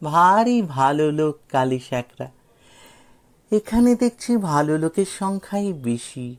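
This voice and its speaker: Man playing a cheeky, teasing young fellow, lifted pitch. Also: sing-song, unhurried. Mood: feigned innocence. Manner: teasing, feigned innocence. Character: cheeky, precocious young man, mockingly polite and falsely naive.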